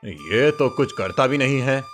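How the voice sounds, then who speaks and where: A man's voice: medium pitch, big pitch swings, measured pace, faint music behind. A man voicing a greedy, domineering village headman, an imperious cartoon villain. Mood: suspicious. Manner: imperious, scheming.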